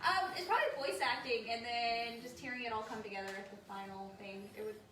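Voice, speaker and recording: A woman's voice: on the high side, fairly quick. A woman chatting casually and giggly as a podcast voice actor in a group. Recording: echoey, distant mic, loud room noise.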